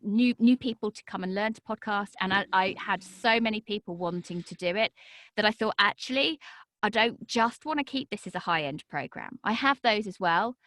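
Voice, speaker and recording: Lively woman with a dry close sound. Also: normal speed, no echo. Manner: animated, swings high and low in pitch. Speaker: podcast guest, voice and podcast coach. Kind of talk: energetic interview talk.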